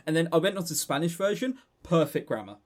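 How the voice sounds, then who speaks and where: A man's voice: on the high side, sing-song. A man co-hosting a podcast in casual chat, jokey and mock-serious, often stammering and repeating words. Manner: halting, stammering repetitions. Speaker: podcast co-host.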